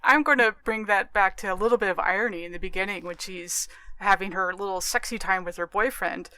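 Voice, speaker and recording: A woman co-hosting a podcast, talking casually in a measured, analytical way. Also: medium pitch, sharp tone, clear, resonant tone, rough recording. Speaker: podcast co-host.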